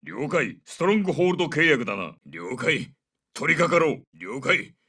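Military shooter operative: high-set, swinging pitch, gruff authority, terse orders and callouts. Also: male voice, very animated, normal speed, bright, forceful tone, distant mic, full character voice. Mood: businesslike, alert. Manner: clipped, authoritative. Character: gruff, commanding adult male special-forces soldier. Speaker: game character voice lines.

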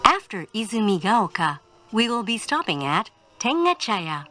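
A woman giving a scripted train announcement in a formal, measured manner. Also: medium pitch, very animated, sharp tone. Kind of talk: scripted English in-car announcement.

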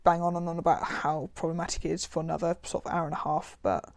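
A woman giving a casual spoken review, her voice slightly raspy from a cold, rambling with many fillers and restarts. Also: low voice, flat delivery, quick pace. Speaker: video reviewer.

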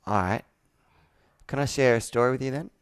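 Clear-voiced man, earnest and persuasive. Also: medium pitch, fairly quick, dry recording, clean studio sound. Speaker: business coach. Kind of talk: sales call roleplay, personal storytelling. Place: indoor room with whiteboard, video call.

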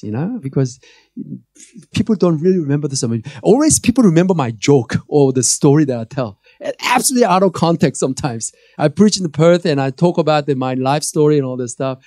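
A man's voice: a little high, a little mellow, no echo, studio quality. A man in his thirties with a Korean accent, preaching a sermon from a church stage into a handheld mic, joking at times and building to emphatic calls. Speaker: pastor. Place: church stage before a screen.

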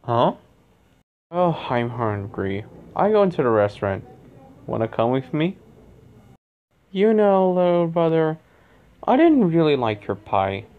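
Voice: medium pitch, sing-song, measured pace, pauses often, narrow, tinny audio. A performer voicing a lazy, playful cartoon fox big brother, with a drawling, theatrical delivery. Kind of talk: character voice lines, acted.

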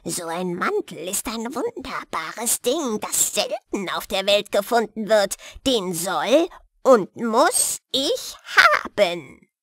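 A woman voicing a scheming, menacing old fairy-tale witch who commands in a drawn-out, threatening way. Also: medium pitch, big pitch swings, no room sound, studio quality. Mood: stern, greedy. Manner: slow, drawn-out, threatening delivery.

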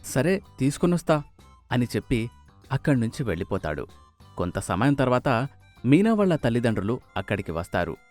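A man reads a scripted story as narrator. His voice is mid-pitched with an animated rise and fall. Music plays quietly behind him. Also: a little fast.

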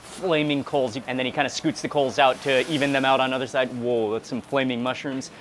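A man in his thirties excitedly narrating a seafood meal for a food vlog, savoring each bite with hums and exclamations, recorded on a small clip mic in a restaurant. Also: mid-range voice, brisk, a little hiss or hum. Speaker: food vlogger. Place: restaurant dining room and outdoor grill. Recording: busy restaurant crowd behind. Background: restaurant crowd chatter.

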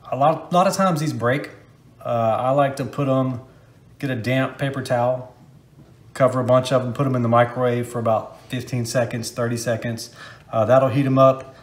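Middle-aged American man, echoey kitchen. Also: mid-range voice. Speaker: home cooking video host. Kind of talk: casual how-to cooking narration. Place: home kitchen, indoors.

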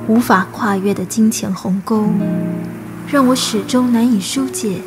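Radio drama lead: woman as striving, wistful immigrant schoolgirl, high voice, loud music underneath. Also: a little slow, close to an everyday voice. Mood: wistful, sorrowful. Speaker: voice actor and narrator. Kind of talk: radio drama acting and first-person narration. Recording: music behind the voice.

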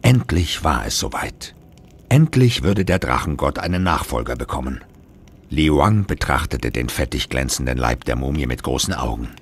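An actor as a grave storyteller, narrating a horror audio drama in a solemn, suspenseful manner. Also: male voice, extremely low pitch, big pitch swings, normal speed, slightly rough, full character voice.

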